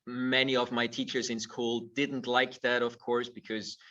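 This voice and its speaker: Muffled distant man, bright tone. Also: mid-range voice, little pitch movement, measured pace. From Austria. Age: middle-aged. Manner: thoughtful, repeats words when starting phrases. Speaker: guest, movement teacher. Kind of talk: conversational interview.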